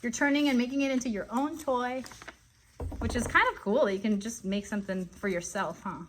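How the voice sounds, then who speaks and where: A woman's voice: a little high, fairly quick, a little hiss or hum. A woman hosting a children's show, explaining things step by step in simple words and checking in with viewers. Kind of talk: friendly craft demonstration for children.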